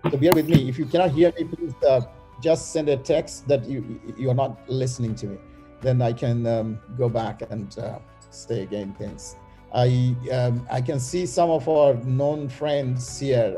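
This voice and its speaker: A man speaking as chairman on a webinar over a video call, halting with many filler sounds. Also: medium pitch, dark tone. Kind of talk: opening remarks on a webinar.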